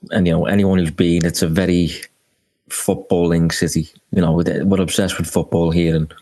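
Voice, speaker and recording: Deep-voiced young Scouse man. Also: a little mellow. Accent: Liverpool (Scouse). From Liverpool, England. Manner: hesitant, many fillers and restarts. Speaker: football analyst guest. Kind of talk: casual interview answers.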